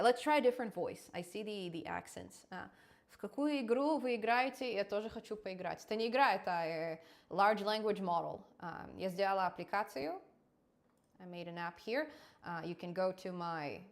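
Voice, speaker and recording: A young adult woman hosting a live coding stream, chatty and quick to laugh, often restarting her words. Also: mid-range voice. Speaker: programming channel host.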